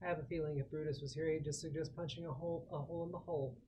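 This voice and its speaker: A man's voice: fairly high pitch, steady tone, very fast, warm tone, poor audio. A man playing a tabletop game, casually talking through what his character does. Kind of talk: casual table talk, describing character's actions. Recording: faint room noise behind.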